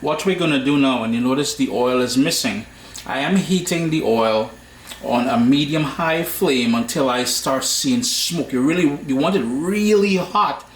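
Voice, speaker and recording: A man with a Trinidadian accent hosting a cooking tutorial in a chatty, relaxed way with slangy asides. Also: mid-range voice, normal speed, echoey room, slight background noise. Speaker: cooking show host.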